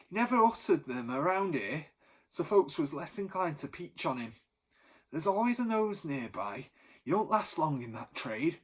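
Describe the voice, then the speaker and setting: A man's voice: high-pitched, pitched above the performer's own voice. A man voicing a thin, wheezing old man who gossips knowingly, in a storybook reading.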